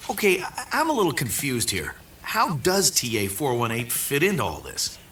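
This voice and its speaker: A puzzled, probing man in a radio drama. His pitch swings very widely, and he sounds distant with faint noise and room ambience. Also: huge pitch swings, moderate pace, a bit bright. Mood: confused. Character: confused, probing man questioning a scientist. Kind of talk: audio drama acting. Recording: distant, faintly noisy played-back drama clip.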